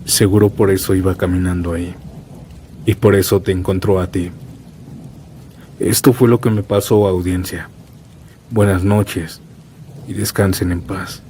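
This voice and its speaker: A man's voice: deep voice, a little slow, long pauses. A man narrating scripted first-person horror stories in a solemn, dramatic way, as a storyteller. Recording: steady rain and thunder noise bed. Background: rainstorm with thunder.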